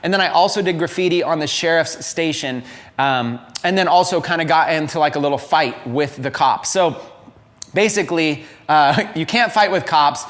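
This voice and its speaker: A man's voice: a little high, fairly bright tone. A man in his late thirties giving a candid, unscripted motivational talk, openly confessing his past to viewers. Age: 30s. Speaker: entrepreneur and coach.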